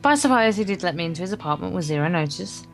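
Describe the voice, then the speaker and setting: A woman with a slightly low voice reads a story game aloud as a casual co-player. She trips on the odd word and carries on. Guitar music plays softly behind her. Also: lively intonation, fast, gravelly. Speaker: guest co-player reading the narration aloud. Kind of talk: reading a visual novel aloud, informal let's play. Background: game's guitar music.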